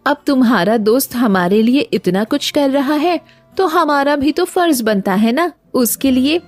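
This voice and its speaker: A woman's voice: high voice, lively intonation, a little slow, a little mellow, faint music behind. A woman playing a dutiful, fretful wife of a village woodcutter in a cartoon story, polite and homely. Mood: eager. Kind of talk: Hindi cartoon story dialogue.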